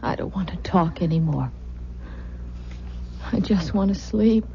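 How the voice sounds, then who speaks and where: An actress playing a tender, weary, secretly fearful young wife in an old-time radio drama, speaking softly and hesitantly. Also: female voice, fairly low pitch, animated, unhurried, creaky. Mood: weary, guarded. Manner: hesitant, guarded, soft-spoken. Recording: muffled, noisy vintage radio recording.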